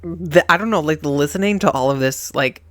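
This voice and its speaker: A reaction host with a mid-pitched voice, talking casually and emotionally. The delivery is dramatic and rambling, recorded close and dry. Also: normal speed, a bit bright, dry recording.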